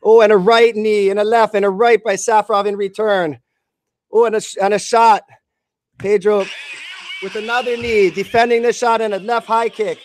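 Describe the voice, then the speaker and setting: High-voiced man calling a fight. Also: flat delivery, moderate pace. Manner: blow-by-blow calls in short bursts. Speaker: radio fight commentator. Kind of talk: live play-by-play commentary.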